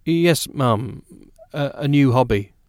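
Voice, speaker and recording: A man's voice: medium pitch, lively intonation, slow, darkish tone, dry recording, clean studio sound. Man playing a careful, hesitant, polite newcomer. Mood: guarded. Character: guarded, polite, grateful male newcomer. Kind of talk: audiobook character dialogue.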